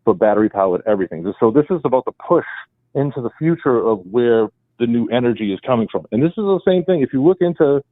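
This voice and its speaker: A man's voice: medium pitch, lively intonation, fast talker, dry recording. Boston guy calling in from home, rambles on and on, tinny phone line. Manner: rambling, emphatic explainer. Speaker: caller. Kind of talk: call-in radio talk. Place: home, with his wife beside him. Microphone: speakerphone, then handset.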